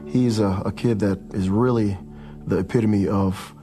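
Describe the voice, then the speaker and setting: A man's voice: fairly low pitch, steady tone, unhurried, warm tone, soft background music. A man speaking as a coach in a documentary interview, describing someone in a conversational, reflective way.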